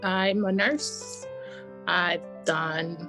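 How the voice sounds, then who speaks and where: A woman nurse from Haiti speaks plainly and directly in a lowish voice. Music is clearly audible behind her. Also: steady tone, very slow.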